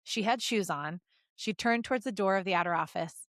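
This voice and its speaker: A woman's voice: medium pitch, brisk, a bit bright, dry recording. Woman narrating a story, very dry sound. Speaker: podcast host reading fan fiction.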